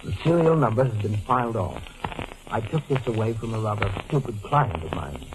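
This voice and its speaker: An American actor playing a cool, calculating lawyer friend in a 1940s radio mystery, questioning and instructing in terse, deliberate phrases. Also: male voice, on the low side, very animated, background noise. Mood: commanding. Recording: old 1940s radio broadcast.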